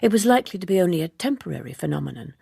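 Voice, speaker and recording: A woman reading literary narration as an audiobook narrator, in a measured, precise and formal way. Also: lowish voice, very animated, creaky, mellow, slightly rough, no echo, studio quality.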